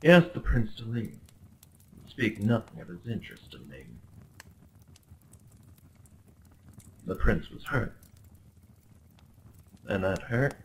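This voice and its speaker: A man's voice: lowish voice, vocal fry, slight background noise, full character voice, poor audio. A man playing a young, obsessive medieval prince in a dramatic ASMR roleplay, speaking in courtly, theatrical phrases with a possessive, menacingly tender undertone. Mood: brooding, storytelling. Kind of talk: dramatic ASMR roleplay monologue addressed to the listener.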